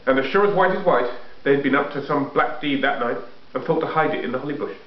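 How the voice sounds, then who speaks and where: A man's voice: medium pitch, fast talker. A man reading a children's story aloud. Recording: echoey room, muffled home recording.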